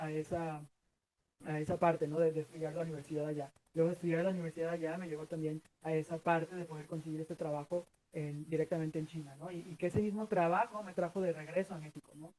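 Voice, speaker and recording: A man's voice: fairly high pitch, little pitch movement, a little fast, mellow, poor audio. A Mexican man in his thirties answering interview questions earnestly, rambling with many fillers and restarts. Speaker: guest.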